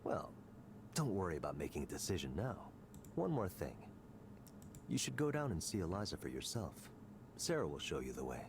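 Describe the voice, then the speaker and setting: An actor playing a smug, calculating tech executive in a video game, speaking in a smooth, condescending, deliberate way. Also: male voice, low voice, sing-song, a little hiss or hum. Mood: persuasive, calculating. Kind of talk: scripted visual novel dialogue.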